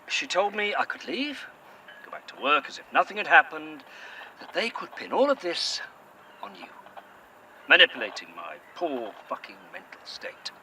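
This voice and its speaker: A bitter, sardonic man, voiced by a male actor in an audio drama, speaking. His tone is sharp and very bright, with a lively pitch that rises and falls, and his replies come short and cutting. Faint outdoor noise sits behind him. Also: normal speed, full character voice, rough recording. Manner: curt, sarcastic, heavy swearing.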